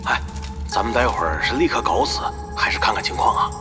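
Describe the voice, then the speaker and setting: A man's voice: medium pitch, big pitch swings, a little fast, vocal fry, over music. A man playing a wary guild gamer sidekick in a radio drama, hesitant and questioning as he plots.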